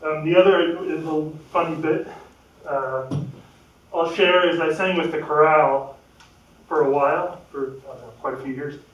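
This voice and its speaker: A man's voice: on the high side, moderate pace, a bit bright, highs cut off. A man sharing memories of a family friend at a memorial, hesitant and full of fillers, chuckling at times. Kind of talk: informal spoken remembrance. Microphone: no microphone, heard from a distance. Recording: echoey room, speaker far from the mic.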